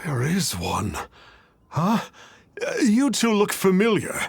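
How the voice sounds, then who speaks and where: A man's voice: high-pitched, huge pitch swings, takes it slowly, vocal fry, slight background noise, full character voice. An actor playing a pompous male fake exorcist in a video game, with a grandiose manner of speech. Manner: grandiose, calls himself "one". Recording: game audio with faint music.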